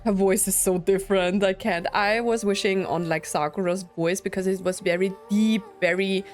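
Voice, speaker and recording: A woman's voice: mid-range voice, fairly even intonation, moderate pace. Chatty woman streamer reacting to a game. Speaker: streamer playing through a story game. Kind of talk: casual gaming commentary. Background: game music.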